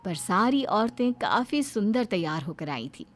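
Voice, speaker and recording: A woman's voice: medium pitch, sing-song, measured pace. A woman giving scripted storytelling narration for a moral story. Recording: background music under the voice.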